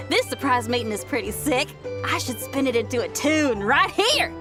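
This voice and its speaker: Game role: breezy rebel rock girl, energetic slang, bouncy high delivery. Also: unhurried, full character voice. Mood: upbeat. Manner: slangy, energetic, laid-back talk. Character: upbeat young woman rock musician, rebellious and breezy. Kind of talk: video game character dialogue. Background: game music.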